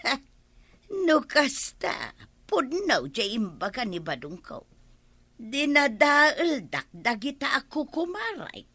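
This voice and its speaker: An indignant Ilocano village woman in a radio comedy. Her voice is bright and lively in pitch, full of expressive protest. The sound is close and dry. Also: slow, no room sound, full character voice. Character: talkative village woman, indignant, gossiped about over money.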